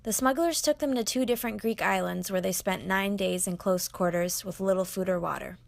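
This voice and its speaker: A woman hosting, who reads her narration clearly and asks short, encouraging questions. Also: medium pitch, brisk. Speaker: host and interviewer. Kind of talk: scripted narration and casual interviewing.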